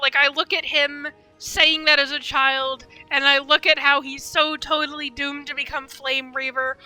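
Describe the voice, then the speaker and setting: Very bright, high-voiced woman game streamer. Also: dry recording, poor audio. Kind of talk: live commentary on a story game. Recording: faint game music behind.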